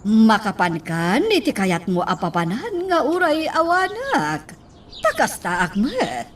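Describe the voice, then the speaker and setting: A Filipino woman playing an excitable, nervous, wheedling wife in a radio drama, with theatrical exclamations. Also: on the high side, slow, distant mic, slight background noise, full character voice. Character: excitable, nervous, pleading wealthy wife learning to drive.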